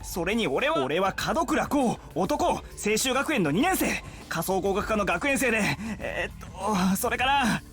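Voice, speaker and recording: Flustered young man, animated and quick. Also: sits high. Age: young adult. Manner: agitated, halting, disbelieving. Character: confused young male student soldier with amnesia. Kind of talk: Japanese visual novel voice acting. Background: background music.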